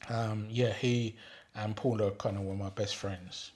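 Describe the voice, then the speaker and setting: A man, reminiscing about his childhood in an unhurried way, often pausing with ums and restarting his sentences. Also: on the low side, fairly even intonation, a little mellow. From England. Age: 60s. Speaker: retired father telling his life story. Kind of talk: reminiscing monologue reading out questions.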